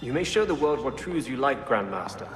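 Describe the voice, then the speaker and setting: Brief: male actor as a cool, calculating deputy, middle pitch, roomy reverb. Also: sing-song, fairly quick, music in the background, lightly acted. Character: cold, calculating male revolutionary politician. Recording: echoey hall.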